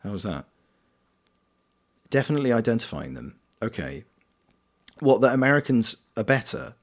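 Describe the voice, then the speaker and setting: A man's voice: mid-range voice, very animated, moderate pace. A British man streaming a game, chatting casually and reading viewers' comments aloud, greeting them by name. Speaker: streamer and voice actor.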